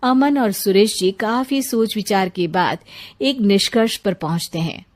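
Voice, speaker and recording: A woman's voice: medium pitch, a little mellow. A woman reading scripted narration for a domestic cartoon story.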